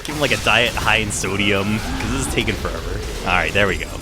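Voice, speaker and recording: A man's voice: lowish voice, animated, fast talker. A man giving casual game commentary as a co-commentator, teasing and sarcastic. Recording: game music behind commentary. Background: video game music.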